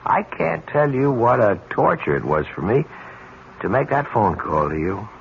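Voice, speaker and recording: A man playing a guilt-ridden, anxiously polite fellow who speaks in a halting, earnest way in a vintage radio drama. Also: medium pitch, big pitch swings, vocal fry. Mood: strained elation. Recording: dull, noisy vintage radio recording.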